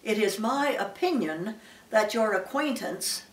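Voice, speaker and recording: A woman's voice: mid-range voice, animated, measured pace, roomy sound, distant mic, natural voice, poor audio. An elderly woman voicing a pompous, wordy male python with formal manners in a storybook reading. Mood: grave, pompous. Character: pompous, long-winded male python rock snake.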